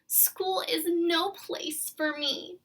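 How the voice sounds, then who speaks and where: Woman acting out a plaintive, high-pitched sad little girl. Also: fairly even intonation, fairly bright tone, far from the mic, exaggerated voice. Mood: miserable, plaintive. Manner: slow, drawn-out, whiny delivery. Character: sad, timid little girl mouse, miserable about her name.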